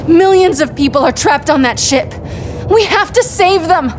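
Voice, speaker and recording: High-voiced, earnest young superheroine. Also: female voice, animated, normal speed, background noise. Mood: urgent pleading. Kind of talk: video game cinematic acting.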